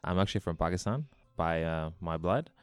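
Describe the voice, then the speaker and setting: Male interview guest from Hong Kong, very low clean voice, conversational and anecdotal. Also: animated, fairly quick, clear, resonant tone. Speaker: bar founder, interview guest. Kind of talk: casual interview chat.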